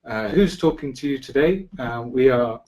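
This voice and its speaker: A man's voice: medium pitch, expressive, moderate pace, darkish tone. Chatty British man hosting a webinar. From Britain. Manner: friendly, chatty, with frequent "uh" fillers. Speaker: webinar host. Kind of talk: live travel webinar presentation. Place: office in Quito.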